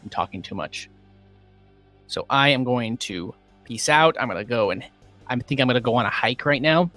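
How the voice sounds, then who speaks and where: A man hosting a casual livestream, upbeat and rambling with many fillers, his voice going a little hoarse. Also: medium pitch, lively intonation, normal speed, pushed and bright, faint music behind. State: losing his voice. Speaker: livestream host.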